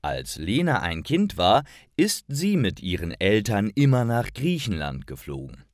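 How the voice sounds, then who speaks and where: Slow, lively-pitched male story narrator. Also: no room sound, studio quality. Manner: careful, deliberate enunciation. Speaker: narrator of stories for language learners. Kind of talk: scripted story narration for learners.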